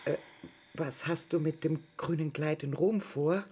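An actress in a radio play voicing a wistful, consoling woman in her sixties with a husky, gravelly voice. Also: deep voice, measured pace, dark tone. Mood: gently probing. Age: 60s. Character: wistful woman of sixty-six, gentle and consoling. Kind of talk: radio drama acting.

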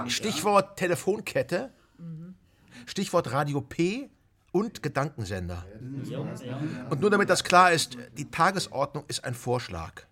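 An actor playing a charismatic, pompous leader of an underground squat, speechifying in a radio drama. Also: male voice, on the high side. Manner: declamatory, pompous, speech-making.

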